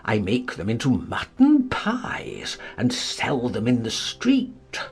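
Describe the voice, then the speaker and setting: An actor playing a mild, very old, poor peddler who recites verse in a creaky voice. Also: male voice, medium pitch, wildly swooping pitch, slow, exaggerated voice. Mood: mild, wheedling.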